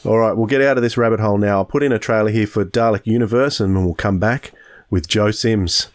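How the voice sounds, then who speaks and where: A man with an Australian accent and a fairly low voice. He talks casually, as a podcast host does in discussion with a co-host. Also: steady tone, quick pace.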